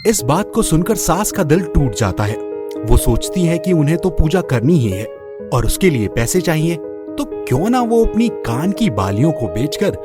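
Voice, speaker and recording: A man narrating a scripted moral story in an expressive storytelling style. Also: a little high, normal speed. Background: background music.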